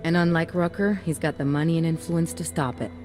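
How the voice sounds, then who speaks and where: A low-pitched female hacker from a video game, played by an actress. She is blunt, slangy and streetwise, with a concerned edge. Music is clear behind her. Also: fairly even intonation, fairly quick, lightly acted. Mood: urgent, serious. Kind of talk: video game cutscene acting. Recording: music under the voice.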